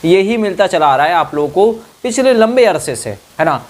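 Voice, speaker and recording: A man's voice: high voice, very animated, moderate pace. A man giving an informal explainer to students, repeating phrases for emphasis and checking in with short tag questions. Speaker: exam-news presenter. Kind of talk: informal explainer addressed to exam candidates.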